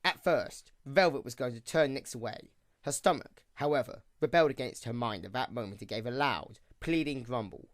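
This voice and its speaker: A man's voice: medium pitch, normal speed. A man reading scripted story narration for an audio drama.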